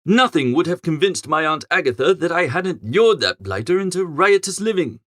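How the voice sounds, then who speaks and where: A man's voice: a little high, very animated, normal speed, a bit bright, dry recording, studio quality. A man narrating a comic first-person story as an audiobook reader.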